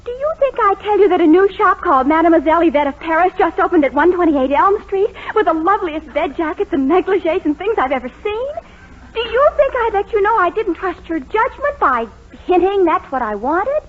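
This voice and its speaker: A young American sweetheart speaks in a very high, swooping voice. She is coy and gushy, wheedling with exasperated hints. The sound is a muffled 1940s radio comedy recording with some audience behind. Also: sing-song, a little fast. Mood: coy hinting.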